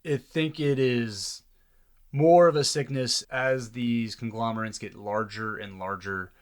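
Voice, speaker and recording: A man's voice: medium pitch, steady tone, unhurried. A man speaking as a doctor in an earnest, reflective interview conversation. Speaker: doctor, podcast guest.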